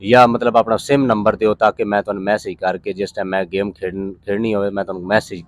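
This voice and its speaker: A man's voice: on the low side, flat delivery, a little fast, dry recording. A man from Pakistan with a Punjabi accent, telling his story casually as an interview guest in a rambling, plain-spoken way.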